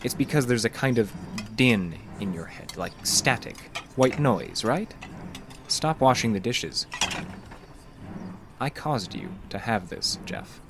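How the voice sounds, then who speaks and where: Creepy calm counselor villain in a horror audio drama, smug and taunting, noisy backdrop. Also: male voice, medium pitch. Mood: smug, probing. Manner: condescending, deliberate, taunting. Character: sinister, calmly menacing male counselor secretly drugging his patient.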